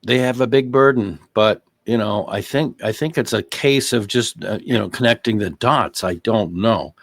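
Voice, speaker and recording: A man's voice: on the low side, moderate pace, gravelly, no echo, clean studio sound. A man hosting conversational legal commentary on a criminal trial, repeating phrases for emphasis. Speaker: host of a legal commentary show.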